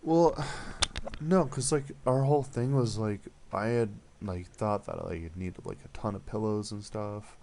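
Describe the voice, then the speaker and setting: Low gravelly man rambling awkwardly. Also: a little slow, darkish tone, slight background noise. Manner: hesitant, rambling, awkward with many fillers. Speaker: prank caller. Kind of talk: deadpan prank phone call.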